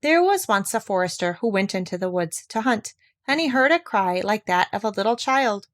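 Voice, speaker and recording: A woman reading a fairy tale aloud as scripted narration, in a measured, expressive storytelling manner. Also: mid-range voice, no room sound, studio quality.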